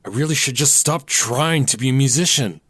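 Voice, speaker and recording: A man's voice: mid-range voice, fairly quick, fairly bright tone, husky, dry recording. An actor playing a young man who doubts his own songwriting, who speaks in a self-deprecating, frustrated way and mutters to himself in an audio drama.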